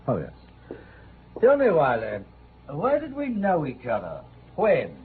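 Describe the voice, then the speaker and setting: An actor playing a suave, patronising gentleman swindler, mockingly polite, in an old radio drama. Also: fairly high pitch, wildly swooping pitch, slow pace, breathy edge, heavily acted. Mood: amused, taunting. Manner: urbane, drawling endearments, mockingly polite. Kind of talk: 1940s-50s radio drama acting. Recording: tinny, noisy old radio recording.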